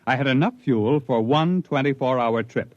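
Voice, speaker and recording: An actor playing a stranded, obsessive spaceship captain telling his haunted tale with brooding pauses, in 1950s radio drama style. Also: medium pitch, no echo, lightly acted. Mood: wistful, brooding. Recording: dull vintage 1950s radio recording.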